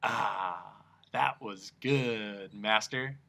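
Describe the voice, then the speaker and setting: A man's voice: on the low side, drawn-out words, vocal fry, bright, forceful tone, voice pushed down, full character voice. Man voicing the Awful Din, a roaring smog monster. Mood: satisfied bellow. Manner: roaring, laughs at own puns. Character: bellowing male smog monster, childish, moody.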